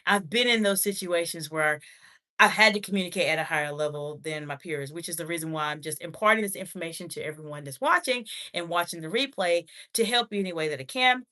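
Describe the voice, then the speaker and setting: A woman's voice: lowish voice, fast talker, pushed and bright. A woman executive communication coach from the United States, talking on a livestream in a formal, encouraging way and greeting viewers by name as she reads their comments. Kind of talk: livestream talk, reading chat comments.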